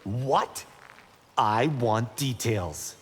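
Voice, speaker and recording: A man's voice: mid-range voice, lively intonation, very slow, breathy edge, exaggerated voice. A man voicing a brash, boastful young martial-arts fighter in a video game, teasing as he talks. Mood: challenging. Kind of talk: video game character banter. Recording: reverberant hall with faint background noise.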